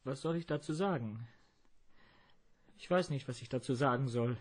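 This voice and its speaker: A man's voice: medium pitch, normal speed, lightly acted. A man playing an agitated, evasive crook in a German radio play, whining and indignant as he protests.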